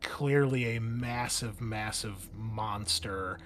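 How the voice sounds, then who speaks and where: A man narrating a tabletop roleplaying game as game master, describing scenes haltingly and correcting himself as he goes. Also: on the low side, a bit bright. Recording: clear background noise.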